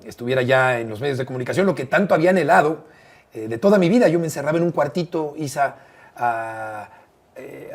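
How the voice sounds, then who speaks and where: A Mexican man in his fifties with a Mexican accent, a sports commentator telling stories in an interview, expressive and emphatic with frequent fillers. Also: mid-range voice. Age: 50s. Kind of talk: conversational interview storytelling.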